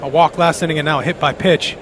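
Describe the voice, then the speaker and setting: A man's voice: a little high, fairly even intonation, fast, background noise. An American man giving conversational baseball analysis as a radio color commentator, circling back and repeating phrases as he goes.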